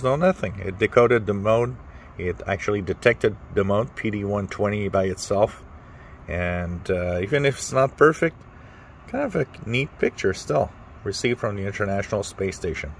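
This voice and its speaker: A man's voice: lowish voice, expressive, moderate pace, slight background noise. A man hosting a casual, unscripted hands-on radio demonstration, explaining things step by step in an informal way. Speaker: amateur radio channel host.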